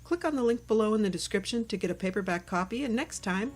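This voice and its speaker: A woman's voice: mid-range voice, expressive, fast, faint music behind. A woman narrating a read-aloud children's book for early readers in short, separated sentences.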